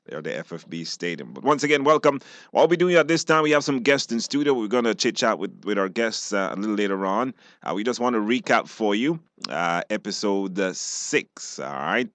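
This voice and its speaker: Low-voiced Belizean man hosting radio. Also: fairly bright tone, dry recording. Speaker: radio program host. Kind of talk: informal presenting with scripted recap. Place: dry broadcast studio.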